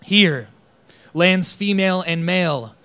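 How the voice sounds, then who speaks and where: A man giving a slow, declamatory recitation of a poem. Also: high voice, fairly bright tone, clean tone. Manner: measured, declamatory phrasing. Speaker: poetry reader. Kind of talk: slow dramatic poetry recitation.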